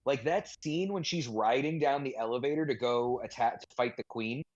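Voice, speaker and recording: Male podcast co-host, conversational and informal, medium-pitched, slightly muffled lo-fi microphone with no room sound. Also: moderate pace, no echo. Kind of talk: casual chat.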